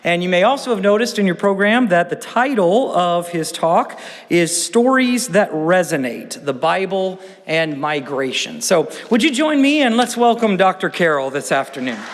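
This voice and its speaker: A man's voice: sits high, animated. Courteous man introducing a speaker. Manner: courteous, measured with fillers. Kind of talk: formal introduction. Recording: lecture hall with long reverb.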